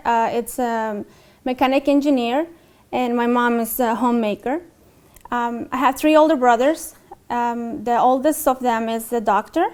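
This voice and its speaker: A woman from Mexico who speaks English with a Mexican Spanish accent. Her voice sits a little high. She tells her own story slowly and haltingly, stopping often on fillers and false starts. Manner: hesitant, many fillers and restarts. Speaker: interviewee. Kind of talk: documentary interview, personal story.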